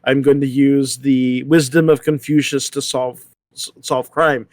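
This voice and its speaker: A man hosting a thoughtful discussion, speaking haltingly and repeating words as he works through an idea. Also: mid-range voice, flat delivery, darkish tone, dry recording. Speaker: host moderating a panel discussion.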